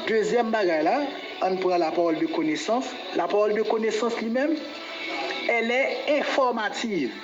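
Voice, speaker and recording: A man's voice: sits high, normal speed. A man with a Haitian accent teaching the Bible as a pastor, didactic, repeating key phrases and checking that listeners follow. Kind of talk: Bible teaching on spiritual gifts. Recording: dull, echoey room recording with music behind.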